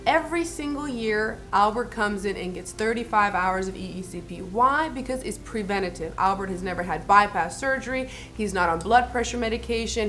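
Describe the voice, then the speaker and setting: A woman's voice: mid-range voice, fairly bright tone. A woman giving a promotional explanation as a clinic spokesperson, in emphatic, plain statements.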